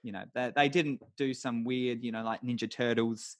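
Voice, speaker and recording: A man's voice: medium pitch, fairly even intonation, normal speed, no room sound. A man co-hosting a podcast about films in a casual, conversational way, often dropping "you know" into his sentences. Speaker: podcast co-host discussing films. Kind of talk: casual chat.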